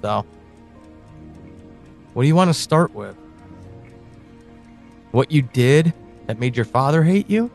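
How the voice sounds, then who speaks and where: A man playing a teasing, evasive confidant in an audio drama, speaking slowly and deliberately with dramatic pauses. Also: on the high side, exaggerated voice. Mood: taunting, ominous. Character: teasing, evasive confidant guiding someone through dark memories. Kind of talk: audio drama acting, intimate monologue and dialogue.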